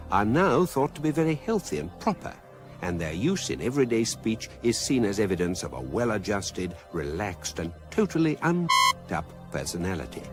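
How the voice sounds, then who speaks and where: Deep, deadpan guidebook narrator. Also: male voice. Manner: measured, deadpan. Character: urbane, dry male narrator of a galactic guidebook. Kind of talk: scripted comic narration.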